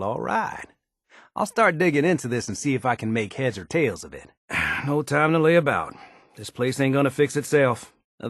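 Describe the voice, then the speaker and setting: Lively, folksy wasteland handyman. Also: mid-range voice, no echo, clean studio sound. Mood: focused, then modest, wry. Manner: folksy, colloquial, easygoing phrasing. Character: down-to-earth male wasteland handyman, folksy, modest, wry. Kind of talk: video game character dialogue.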